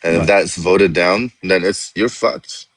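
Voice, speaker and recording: A man's voice: sits low, steady tone, unhurried, no echo. A man from Iraq arguing politics as a guest on a live stream, talking over the host and laughing mid-sentence. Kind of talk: heated political debate.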